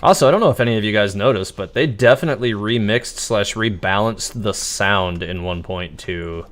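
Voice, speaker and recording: Low-voiced man chatting on a game stream. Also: fairly bright tone. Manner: rambling, halting, chatty. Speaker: game livestreamer. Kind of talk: casual live gameplay commentary.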